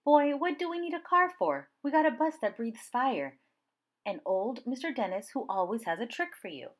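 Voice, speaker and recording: Lady doing a feisty old grandma from a picture book, folksy n loving scolding, super animated. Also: fairly high pitch, normal speed, pitched above the performer's own voice. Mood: emphatic, proud. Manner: folksy, emphatic, gently scolding. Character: spirited old grandmother, brisk, wise and loving.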